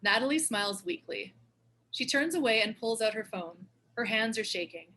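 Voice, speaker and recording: Woman, scripted stage-direction narration, bright forward voice, light noise behind. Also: a little high, animated, moderate pace, low-quality recording.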